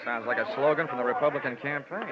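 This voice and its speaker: A man's voice: medium pitch, quick pace, a loud crowd, natural voice. An American man playing a wry, flippant insurance investigator in a mid-century radio detective drama. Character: wisecracking, cool male freelance insurance investigator. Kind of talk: 1940s-50s radio detective acting with first-person narration. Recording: dull, noisy vintage radio recording.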